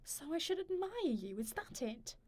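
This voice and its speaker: Dignified, bitter older wife, fairly high female actor. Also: big pitch swings, a little mellow. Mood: accusing. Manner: measured, probing, accusing. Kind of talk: radio play dialogue.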